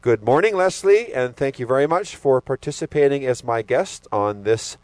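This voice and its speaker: A man's voice: mid-range voice, expressive, normal speed, darkish tone. A man hosting an interview, asking questions in an upbeat, jokey way. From Canada. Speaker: host.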